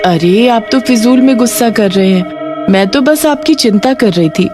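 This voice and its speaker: A woman's voice: a little high, animated, moderate pace, music in the background, pitched above the performer's own voice, lightly acted. A woman voicing a caring village mother who is emotive and earnest, in a cartoon ghost story. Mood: hurt, caring.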